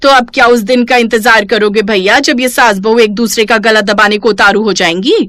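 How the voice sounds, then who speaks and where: A woman voicing a responsible, middle-aged elder sister-in-law in a cartoon story, brisk, stern and admonishing. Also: on the high side, steady tone, fairly bright tone, no echo, clean studio sound, natural voice. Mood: sceptical. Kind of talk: Hindi cartoon moral story acting.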